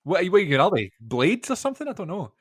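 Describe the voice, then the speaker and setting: A man's voice: high voice, very animated, rapid-fire, husky, no room sound, studio quality. A man, co-hosting a podcast, chatting eagerly and rambling with lots of fillers. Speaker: podcast co-host. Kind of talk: casual chat.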